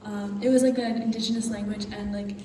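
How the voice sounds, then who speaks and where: A woman's voice: medium pitch, flat delivery, a little fast, a crowd in the background. A woman student speaking thoughtfully, with many "like" fillers. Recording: distant, echoey hall with noise.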